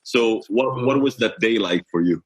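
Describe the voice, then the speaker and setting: A man's voice: lowish voice, moderate pace, husky, poor audio. A man co-hosting a casual podcast who asks guests questions and teases his co-host.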